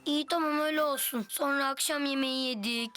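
A woman's voice: high-pitched, steady tone, bright, forceful tone. A woman voicing a cheerful, curious little boy in a children's cartoon, with an eager, childlike way of talking. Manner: eager, childlike, drawn-out phrasing.

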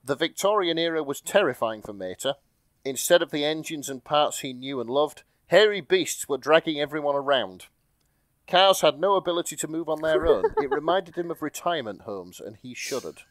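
A man's voice: fairly high pitch, lively intonation, normal speed, no room sound. A man podcast host with a wry, explanatory manner, chatting casually and reading aloud for laughs. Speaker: podcast host reading and recapping fan fiction. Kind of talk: casual comic chat with read-aloud.

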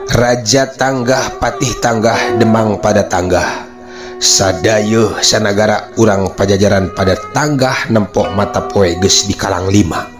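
A man's voice: lowish voice, fairly even intonation, a little slow. A man narrating a folk tale in a theatrical, declamatory recitation. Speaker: storyteller. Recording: loud music bed under the voice. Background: music.

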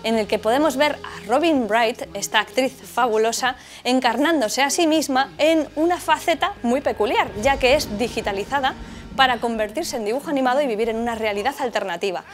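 A Castilian Spanish woman with a fairly high voice presents a scripted film show. She is chatty and expressive, speaking into a lapel mic with music clearly playing behind her. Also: a little fast. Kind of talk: scripted video narration.

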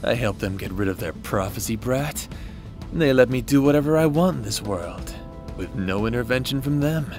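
A man's voice: mid-range voice, lively intonation, moderate pace, darkish tone, soft background music, close to an everyday voice. A man playing a cocky demon schemer in an audio roleplay drama, casual and teasing with a swaggering manner. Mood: gloating. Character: cocky, taunting male demon, amused schemer.

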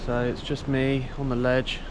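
A man, chatting casually to camera in a low-key, halting way as an outdoor vlogger. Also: completely flat delivery, background noise. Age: 30s. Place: outdoors on a rocky sea ledge. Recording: loud surf and outdoor ambience. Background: waves and surf.

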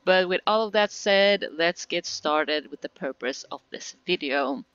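Bright, chatty Swedish-accented woman. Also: fairly low pitch, measured pace, smooth, no room sound, highs cut off. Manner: chatty and giggly, with frequent fillers. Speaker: gaming tutorial creator. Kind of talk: casual game tutorial voice-over.

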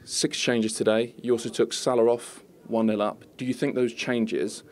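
A man's voice: mid-range voice, flat delivery, normal speed, darkish tone. A man working as a sports reporter, putting persistent questions in a post-match interview.

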